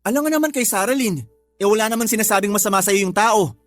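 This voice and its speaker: A woman's voice: medium pitch, fast, no echo, soft background music, lightly acted. A woman voicing a stern older brother who scolds his sister in a radio drama. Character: older brother, young man.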